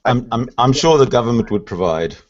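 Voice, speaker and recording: A Canadian man hosting a casual panel talk, opinionated and animated, often stammering and restarting. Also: medium pitch, a little fast. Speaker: host. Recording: dull lo-fi audio.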